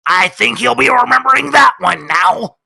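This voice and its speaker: A man's voice: extremely high pitch, expressive, normal speed, vocal fry, a crowd in the background, voice pushed up, exaggerated voice. A man voicing a hearty, urgent cartoon sailor in a tabletop game.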